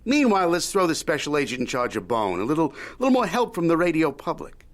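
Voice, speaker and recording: A shrewd old showman of a media mogul, pitched a little high for a male voice. The delivery rises and falls freely as he haggles, needling and folksy, with Yiddish-peppered wit in audio drama style. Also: very animated, quick pace. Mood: scheming. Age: older. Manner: wry, needling, Yiddish-peppered wheeling and dealing.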